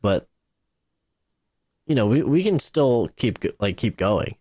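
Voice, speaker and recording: A man's voice: medium pitch, very animated, takes it slowly, mellow, no echo, clean studio sound. A man in his twenties, a YouTuber chatting casually on a podcast, laid-back and saying "like", "dude" and "bro" often. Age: 20s.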